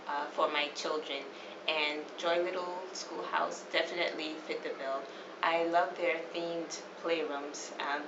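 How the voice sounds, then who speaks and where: Mother giving a testimonial: low, gravelly voice, keen and warm-hearted praise, echoey room. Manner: enthusiastic, with fillers. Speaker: parent. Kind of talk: casual testimonial. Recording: echoey dull recording, ambient noise.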